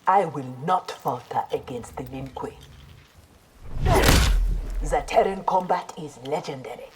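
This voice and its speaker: A woman's voice: low-pitched, a little slow, heavy background noise. A woman playing a proud, honorable armored ninja in a video game, speaking formally and with earnest resolve. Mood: resolute. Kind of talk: video game character dialogue.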